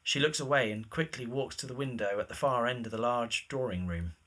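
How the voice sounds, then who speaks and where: Bright, husky male narrator. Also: medium pitch, fairly quick. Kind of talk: scripted narration of screenplay directions.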